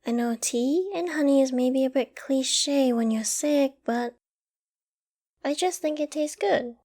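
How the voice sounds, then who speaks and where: A woman playing a doting, affectionate girlfriend in an intimate ASMR roleplay, tender and cooing with gentle teasing. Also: high-pitched, measured pace. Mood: tender, reassuring. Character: doting, affectionate young girlfriend caring for her sick partner.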